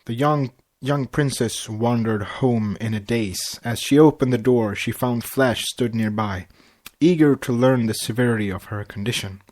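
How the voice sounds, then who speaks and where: A man co-hosting a podcast, chatting casually and narrating a story in a halting way with stumbles. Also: mid-range voice, a little mellow. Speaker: podcast co-host and fan fiction reader.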